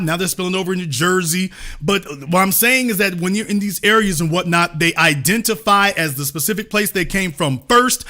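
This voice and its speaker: High, bright-voiced man lecturing hard. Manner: emphatic, lecturing, repeats points for stress. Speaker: commentator host. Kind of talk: reacting to an interview clip.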